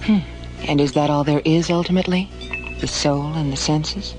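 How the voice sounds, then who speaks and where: A woman's voice: low voice, fairly even intonation, normal speed, darkish tone, faint music behind, lightly acted, poor audio. An actress playing a composed, probing woman doctor on a covert mission in an audio drama. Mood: probing, polite.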